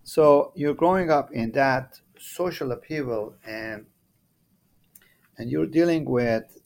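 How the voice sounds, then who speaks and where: A man in his seventies speaks at a middle pitch and a slow pace. He is deliberate and halting, reflecting on personal memories as an interview guest. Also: creaky, darkish tone. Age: 70s. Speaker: podcast guest.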